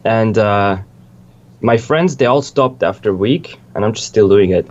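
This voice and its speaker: A man's voice: fairly low pitch, normal speed. Laid-back young man from Switzerland, lo-fi. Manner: relaxed, rambling, swears casually, many restarts. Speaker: music producer, guest. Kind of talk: casual interview chat.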